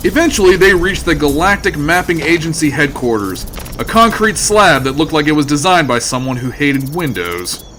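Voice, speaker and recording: A man's voice: a little high, expressive, normal speed, pushed and bright, a little hiss or hum. A man playing a wry storyteller, delivering dramatic comic narration for a sci-fi audio adventure.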